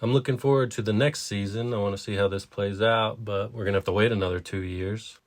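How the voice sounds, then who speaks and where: A man's voice: lowish voice, fairly even intonation, a little fast. A man co-hosting a story podcast, chatting casually and giving introductions. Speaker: podcast co-host.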